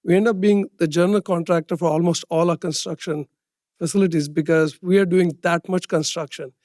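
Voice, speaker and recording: A man's voice: a little high, steady tone, a little fast, darkish tone, no room sound, studio quality. A man speaking as a company executive, explaining in answers on an earnings call and checking with short tag questions.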